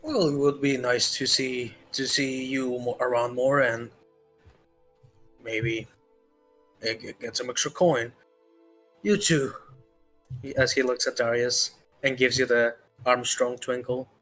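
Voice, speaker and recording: A man acts as game master in an improvised tabletop session. His mid-pitched voice stops and starts as he thinks aloud. Music plays faintly behind him. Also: fairly even intonation, measured pace, long pauses, fairly bright tone, rough recording. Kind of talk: improvised tabletop roleplay, casual. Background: background music.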